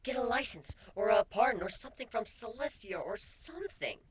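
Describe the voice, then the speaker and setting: A woman playing a brazen, teasing female pony with a breathy, husky voice in a dramatic fan fiction reading. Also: a little high, very animated, fairly quick. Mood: curious. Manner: sly, blunt, provocative.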